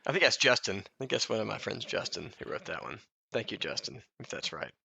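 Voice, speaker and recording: A man's voice: fairly low pitch, very animated, fast talker, vocal fry, sharp tone, husky, dry recording. A man co-hosting a podcast with casual, irreverent banter and some swearing, recorded slightly dull and dry. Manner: irreverent, profane, joking. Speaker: podcast co-host.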